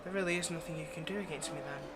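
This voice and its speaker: A performer plays a confident vampire in an audio roleplay who toys with prey. The delivery is teasing and condescending, with a possessive, predatory edge. Music plays clearly behind the voice. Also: medium pitch, racing, close to an everyday voice. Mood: teasing, smug. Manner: teasing, condescending, drops to whispers. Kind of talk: ASMR roleplay monologue.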